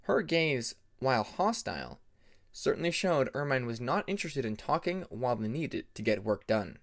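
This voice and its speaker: A man's voice: medium pitch, sing-song, moderate pace. A man reading a story aloud as narrator of an amateur audiobook.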